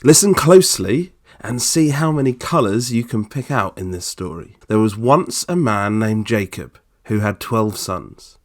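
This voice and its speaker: Slow-talking older man reading a children's story. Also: on the low side, animated.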